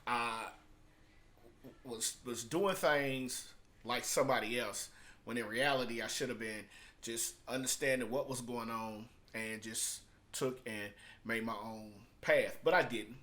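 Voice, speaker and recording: A man hosting a casual, unscripted video as a music producer and entrepreneur, speaking haltingly with emphatic repetition and shifting between subdued and hyped delivery. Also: medium pitch, fairly bright tone. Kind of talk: casual unscripted vlog monologue.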